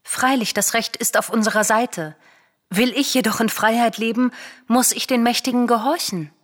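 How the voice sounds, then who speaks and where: An actress playing a cautious, submissive princess in a classical radio tragedy, reasoning and admonishing with urgency. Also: female voice, fairly high pitch, fairly even intonation, moderate pace, a bit bright. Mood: reproachful, resigned. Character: Chrysothemis, cautious, submissive princess, pragmatic pleading sister.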